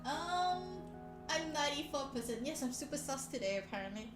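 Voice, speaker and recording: Female streamer, very high voice, playful and teasing, loose chatty delivery over background music. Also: animated, a little fast. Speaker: livestream host. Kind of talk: casual live stream chat, teasing viewers. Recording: echoey room, music behind voice. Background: soft background music.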